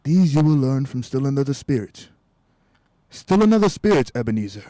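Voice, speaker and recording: Young man acting a solemn, mellow-voiced foreboding spirit. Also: mid-range voice, big pitch swings, fairly quick, dry recording, close to an everyday voice. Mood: solemn, urgent. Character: solemn male ghost of Christmas Present, foreboding. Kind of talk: radio play acting.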